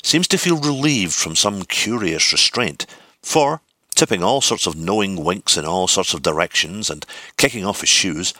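A man reading literary narration from a script, with deliberate, theatrical phrasing. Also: sits low, lively intonation, pushed and bright, no echo. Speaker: audiobook reader.

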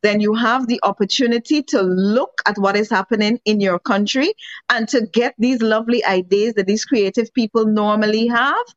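An earnest woman making her points emphatically in a discussion, and now and then asking rhetorical questions. Her voice sits fairly high. The sound is dull and lo-fi with no room at all. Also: normal speed, no echo. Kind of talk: casual discussion.

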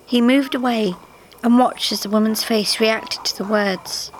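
Female narrator for live theatre storytelling, unhurried and measured, slightly high register. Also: fairly even intonation, rough recording. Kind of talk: scripted story narration read to a live audience. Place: theatre stage, live reading. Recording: live theatre recording with faint background noise.

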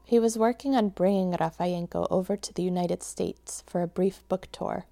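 A woman interviewer asking measured questions and narrating between answers. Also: lowish voice, mellow.

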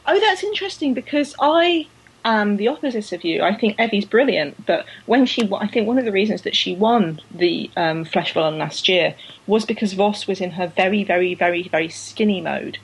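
Husky chatty woman podcaster. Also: medium pitch, lively intonation, normal speed, rough recording. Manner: chatty, laughing, many restarts. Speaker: podcast co-host. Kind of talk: casual chat about women's cycling.

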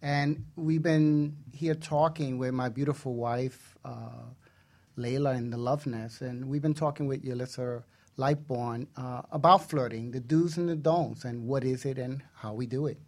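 A man's voice: mid-range voice, fairly even intonation, a little slow, a little mellow. A man co-hosting a radio talk show in a casual, conversational style.

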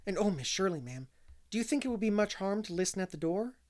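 A breathless young servant girl, as a male reader plays her, pitched well above his narration. She is gossipy and wide-eyed, chattering in folksy, ungrammatical phrases from an old storybook. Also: lively intonation, fast talker, darkish tone. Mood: breathless excitement.

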